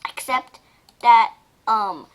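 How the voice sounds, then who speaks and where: A woman casually reading fan fiction aloud and reacting to it, excitable and informal, often breaking into giggles. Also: on the high side, very slow. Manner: giggly, often breaking into laughter while talking. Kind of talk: casual reaction reading of a fanfic, mixing story and commentary.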